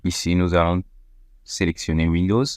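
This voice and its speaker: A man narrating a step-by-step software tutorial over a screen recording, methodical, with frequent hesitation fillers. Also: low voice, flat delivery, normal speed, smooth, no room sound, clean studio sound. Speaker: tech tutorial presenter.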